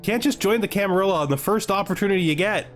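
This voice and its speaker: A man's voice: high-pitched, fast talker, a bit bright, soft background music. A man giving casual gameplay commentary, reading game text aloud and reacting with dry, offhand humour. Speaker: gaming video host.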